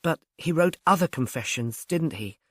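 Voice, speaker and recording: A man's voice: on the high side, animated, normal speed, no echo, clean studio sound, voice pushed up. A man voicing a curious, uneasy woman in conversational audiobook dialogue, asking questions. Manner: inquisitive, conversational.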